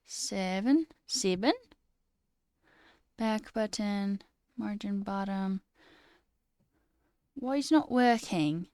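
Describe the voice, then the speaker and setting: Young woman, slight sore throat, smooth clear voice, dry close sound, casual live coding chat. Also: medium pitch, extremely slow, long pauses, dark tone, no room sound. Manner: reads chat aloud, mutters and swears at buggy code. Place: small room indoors.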